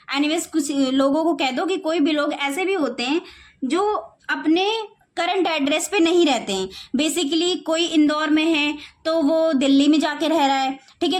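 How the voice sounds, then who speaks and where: A woman teaching spoken English to children, slowing right down to model each phrase and often checking in with "okay, children.". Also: sits high. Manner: slows down sharply to model English phrases word by word; repeats phrases and keeps saying "okay, children". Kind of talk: classroom-style lesson in Hindi.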